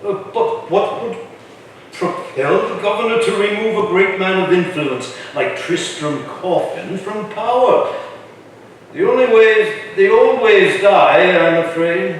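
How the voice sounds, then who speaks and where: Male actor as earnest colonial smallholder for stage musical: high voice, slow formal delivery, recorded far off in an echoey hall. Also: lively intonation, a little hiss or hum. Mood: resigned, questioning. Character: earnest, doubtful male colonial landholder, easily won over. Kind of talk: staged musical reading, spoken and sung. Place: live staged reading in a large echoey room.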